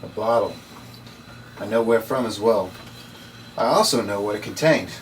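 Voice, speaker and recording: A man's voice: on the low side, normal speed, natural voice. A man playing a dry, world-weary occult investigator in a noir script table read. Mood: dry, knowing. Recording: distant mic, noisy room.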